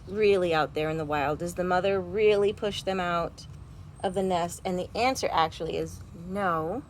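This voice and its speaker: A woman speaks to young children as a storytime host, with a lively, swooping delivery. She uses simple words and often turns to her listeners with questions. She is recorded outdoors, with faint noise around her. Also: medium pitch. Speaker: children's librarian hosting storytime. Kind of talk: read-aloud and chat to young children. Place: outdoors at home.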